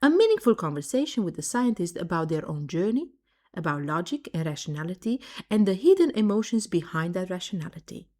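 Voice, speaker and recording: Woman, podcast host, somewhat low voice, measured, formal delivery, interview questions. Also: big pitch swings, darkish tone. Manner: measured, formal reading of the introduction. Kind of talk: scripted podcast intro, then interview questions.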